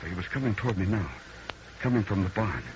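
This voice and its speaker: A man's voice: fairly low pitch, expressive, fast talker, close to an everyday voice. An actor playing a dazed, uneasy city man telling an eerie tale in old radio drama style, earnest and haunted. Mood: bewildered, urgent. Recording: muffled old radio recording, faint noise.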